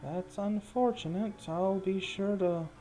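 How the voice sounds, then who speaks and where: A small halfling man on an errand, acted out by a game master, speaking in a raised pitch. He is formal and halting, a polite tabletop-game messenger with faint music behind him. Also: dark tone, lightly acted, poor audio. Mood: hesitant, apologetic.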